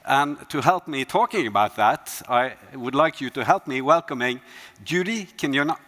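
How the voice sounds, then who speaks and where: A man's voice: mid-range voice, lively intonation, moderate pace, fairly bright tone. Man moderating a conference panel. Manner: friendly questions, frequent fillers. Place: conference stage.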